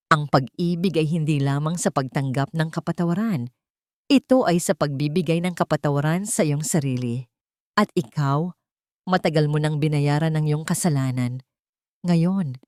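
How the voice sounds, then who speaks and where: A woman with a Filipino accent voicing a loving, forgiving heroine in an audiobook, gentle and earnest. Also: sits low, no echo, clean studio sound, natural voice.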